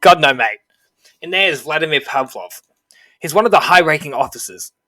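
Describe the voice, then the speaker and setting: Male actor as abrasive wasteland sergeant for a game; highish, forceful, crude and bullying, very dry sound. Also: expressive, normal speed, no room sound. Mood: brash, grim. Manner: aggressive, crude, domineering. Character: foul-mouthed, bullying wasteland army sergeant, man. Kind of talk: scripted game cutscene dialogue.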